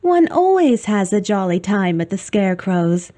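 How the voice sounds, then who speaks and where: A woman's voice: mid-range voice, sing-song, moderate pace, dark tone, lightly acted. A woman voicing a young girl queen of a fairyland in a storybook, cheerful yet commanding. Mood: merry.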